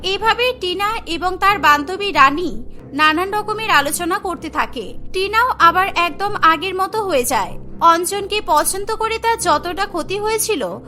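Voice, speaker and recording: A woman's voice: very high-pitched, expressive, unhurried, pushed and bright, faint music behind. A woman reading scripted narration for a children's cartoon story.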